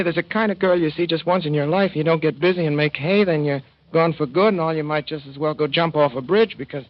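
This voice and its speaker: A man's voice: on the high side, natural voice. Man playing a young racetrack hand, folksy, wistful, dull vintage radio recording. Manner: folksy slangy storytelling, wistful. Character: young male racetrack swipe, earnest and lovesick. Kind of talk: 1930s radio drama narration.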